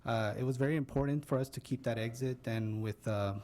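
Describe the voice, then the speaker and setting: A man's voice: medium pitch, brisk, darkish tone. A man presenting a project update and answering questions at a public meeting.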